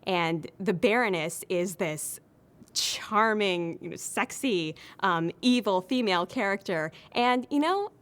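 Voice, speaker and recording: A woman's voice: medium pitch, lively intonation, slow pace, fairly bright tone. A woman talking emphatically and enthusiastically in a casual documentary interview.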